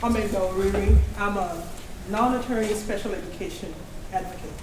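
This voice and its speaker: A woman's voice: mid-range voice, moderate pace, far from the mic, crowd noise. Woman with a cold. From Nigeria. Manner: earnest, restarts and self-corrections. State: has a cold. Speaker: special education advocate, audience member. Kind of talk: public question at a community meeting. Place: community meeting hall. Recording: echoey hall, voice from afar, background noise.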